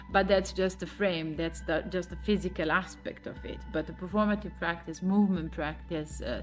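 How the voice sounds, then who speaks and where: Thoughtful woman, low voice. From Serbia. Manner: thoughtful, many pauses and fillers. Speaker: choreographer. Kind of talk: reflective interview answers.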